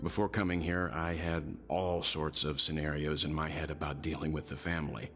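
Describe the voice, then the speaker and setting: A man playing a calm, courteous, thoughtful middle-aged investigator in a fantasy video game, speaking in a measured, formal way. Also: extremely low pitch. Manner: measured, polite, formal delivery. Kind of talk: scripted video game voice acting. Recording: reverberant hall, game music behind.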